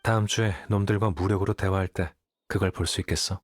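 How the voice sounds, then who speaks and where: A man's voice: low voice, steady tone, fairly quick, no room sound, lightly acted. A man playing a cool, confident young scholar in an anime game, composed, dry and matter-of-fact.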